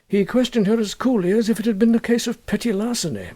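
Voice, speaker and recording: A man reading a first-person story as an audiobook narrator, expressive, measured and deliberate. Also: high voice.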